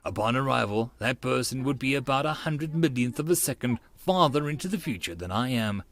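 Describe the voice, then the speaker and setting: A man voicing a gutsy, sharp-tongued eighteen-year-old genius girl who lectures assertively in an audiobook. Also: mid-range voice, animated, brisk. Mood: lecturing.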